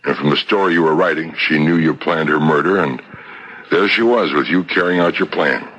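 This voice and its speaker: A relentless, methodical police detective in a 1970s radio mystery. His voice is very deep and forceful, with a husky rasp. He presses each accusation insistently, heard through a dull old broadcast recording. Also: fairly quick, full character voice. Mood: accusing. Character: shrewd, relentless male police detective laying out a murder case.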